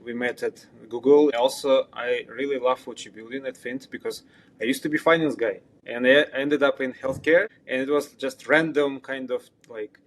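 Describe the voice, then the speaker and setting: A man's voice: mid-range voice, fairly even intonation, normal speed, low-quality recording. A man from Kazakhstan with a Kazakh accent, talking casually as a guest founder and repeating words for emphasis. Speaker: startup founder, interview guest. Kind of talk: casual interview chat.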